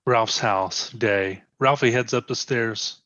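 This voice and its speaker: A man's voice: medium pitch, slow, sharp tone, no room sound. A man reading scripted stage directions as present-tense narration, in a slightly muffled recording.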